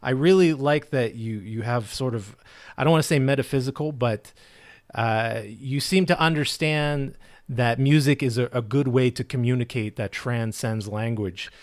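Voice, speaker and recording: Male podcast host, average pitch, dry close sound, halting. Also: no room sound. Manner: halting, restarts, warm lead-in questions. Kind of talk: podcast interview.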